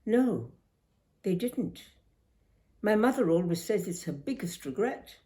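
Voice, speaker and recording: A woman's voice: mid-range voice, very animated, slow pace, warm tone, voice pushed up. Older woman playing young schoolgirl, polite, tentative, earnest, varied intonation, memoir reading. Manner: earnest, tentative, well-mannered. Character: polite, hesitant thirteen-year-old schoolgirl newcomer.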